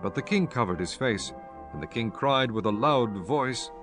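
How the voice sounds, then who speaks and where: A man narrating a dramatized scripture audiobook in a solemn, measured way. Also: mid-range voice, lively intonation, music in the background.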